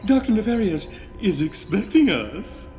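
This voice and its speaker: An actor voicing a bumbling man who poses as a coy, sweet female nurse in a video game, in sing-song falsetto. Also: sing-song, a little slow, dark tone, soft background music. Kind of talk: video game cutscene acting.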